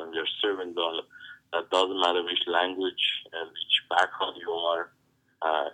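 Deep, flat-voiced Iranian man. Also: rough recording. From Iran. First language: Farsi. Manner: halting, searching for words, heartfelt. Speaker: pastor. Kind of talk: interview answers.

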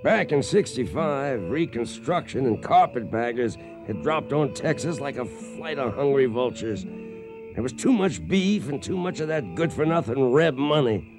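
An actor playing a weather-beaten old trail boss who spins yarns in folksy American Western speech for a radio western. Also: male voice, medium pitch, sing-song, unhurried, music in the background, poor audio. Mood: reminiscing. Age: older. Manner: folksy, rustic cowboy speech. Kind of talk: radio western acting, storytelling narration.

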